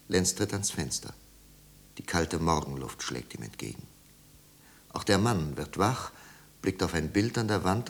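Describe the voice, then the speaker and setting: A man narrating a literary radio drama in a measured, grave and dramatic manner. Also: deep voice, creaky.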